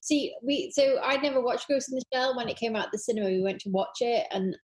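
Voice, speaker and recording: A woman's voice: on the high side, fast, darkish tone. A woman co-hosting a podcast in casual chat, enthusiastic and repeating words for emphasis. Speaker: podcast co-host.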